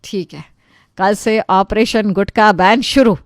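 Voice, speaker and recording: Determined storybook housewife, female performer, exasperated and reproachful, medium pitch, very dry recording. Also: slow, no room sound. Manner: reproachful, firm.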